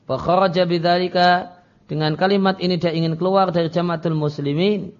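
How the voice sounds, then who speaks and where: A man's voice: sits high, fairly even intonation, a little fast. A man giving an Islamic lecture as a teacher, reading Arabic phrases and explaining them didactically in a dull, lo-fi recording.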